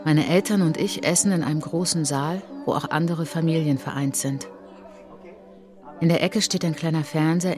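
Radio drama lead: actress as reflective, resolute woman recalling childhood, lowish voice, measured phrasing, music underneath. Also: natural voice. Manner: measured literary storytelling in short phrases. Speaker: narrator of autobiographical monologue. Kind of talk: German radio drama first-person narration. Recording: music behind the voice.

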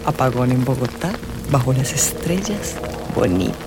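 A woman's voice: a bass voice, very animated, normal speed, music in the background, rough recording. An actress playing a wry, teasing female fortune-teller companion in a radio drama, with a knowing manner.

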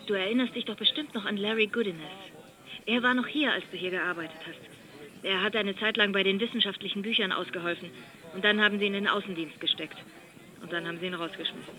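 An actress playing a chatty office worker on the phone, familiar and persuasive, in radio drama dialogue. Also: female voice, mid-range voice, quick pace, sharp tone, lightly acted, poor audio. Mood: shocked, hushed.

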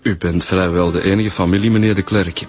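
A man's voice: low-pitched, steady tone, brisk, darkish tone, faint music behind. A man playing a dry, methodical homicide detective in a radio drama.

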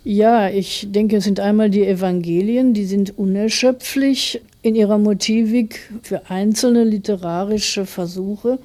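Mellow-voiced, thoughtful middle-aged woman. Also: mid-range voice, steady tone, a little slow, few pauses. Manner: thoughtful, explaining in long winding sentences. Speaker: interviewed writer and theologian. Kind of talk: reflective interview talk.

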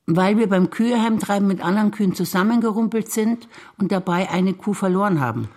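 A woman, a stage actor, reciting childhood memories in a theatre performance in a plain, even way. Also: mid-range voice, fairly quick.

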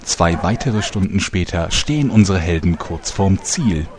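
A man's voice: deep voice, lively intonation, measured pace, a crowd in the background. A man playing the wry narrator of a comic fantasy radio play, reading scripted narration. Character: wry male storyteller of a fantasy parody.

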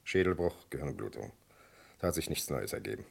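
An actor playing a self-assured, dry police doctor with a brisk, gravelly delivery in a vintage crime radio play. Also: male voice, extremely low pitch, big pitch swings, heavily acted. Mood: dry, certain.

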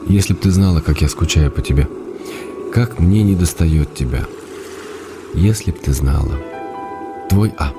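A man's voice: rumbling low, moderate pace, pauses often. An actor playing an ailing middle-aged writer, an ironic and tender husband reading his letters in a radio drama. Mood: tender, longing. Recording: music playing behind the voice.

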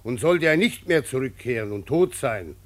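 Man acting an old farming patriarch, solemn, plain-spoken, halting weighty phrases, lively swooping pitch, radio drama. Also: lightly acted. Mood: solemn, decreeing. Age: older.

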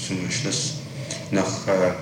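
A man putting formal questions as an interrogator, in methodical, halting phrases, on an old 1960s tape recording. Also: sits low, monotone. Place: echoey room, recorded from a distance. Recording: noisy, echoey 1960s tape, distant microphone.

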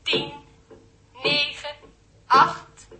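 An actress playing an impersonal, measured launch controller in a 1960s science fiction radio drama. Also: female voice, high voice, little pitch movement, very noisy. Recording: old radio recording with loud background din.

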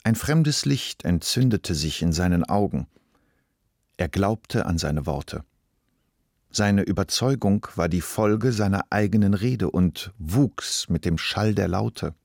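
A man reading literary narration for a radio play in a measured, deliberate way. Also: sits low, animated, gravelly, darkish tone, no room sound, studio quality.

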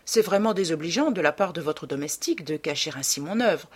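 A woman's voice: low-pitched, expressive, fairly quick, husky. A woman voicing an affable, devoted male painter in a novel, courteous, earnest and questioning. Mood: puzzled, affable.

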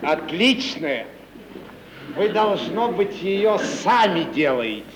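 Roguish, animated comic joker. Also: male voice, high voice, takes it slowly, full character voice. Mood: teasing, mock-polite. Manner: teasing, mock-polite banter. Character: roguish, wisecracking male comic wanderer. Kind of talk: 1950s Russian radio stage comedy acting. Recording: roomy, dull old radio recording, crowd noise.

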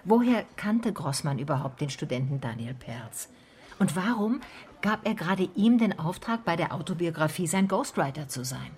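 A woman's voice: on the low side, big pitch swings, normal speed, darkish tone, a little hiss or hum. An actress playing a female private detective in a radio drama, sceptical and probing, with an understated, wry delivery. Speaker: lead character and first-person narrator. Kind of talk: German radio drama dialogue and narration.

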